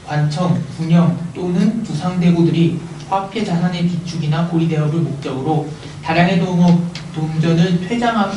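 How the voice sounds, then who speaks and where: A man reading a passage aloud in a classroom at a steady pace, stumbling over a word now and then. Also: fairly high pitch. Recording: very echoey room, far off, with hiss.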